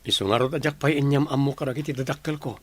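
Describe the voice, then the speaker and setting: A man's voice: medium pitch, steady tone, racing, fairly bright tone, natural voice. A man playing a frustrated, defensive young village son in a radio drama, with an Ilocano Filipino accent.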